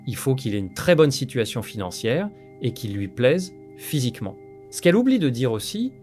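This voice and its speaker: A man's voice: mid-range voice, darkish tone. A man narrating scripted commentary over clips in a blunt, lecturing way. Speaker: commentary channel host.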